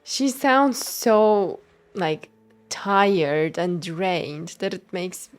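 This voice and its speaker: A woman's voice: lowish voice, extremely slow. A young woman streamer reacting casually to a game, thinking aloud and talking back to the characters.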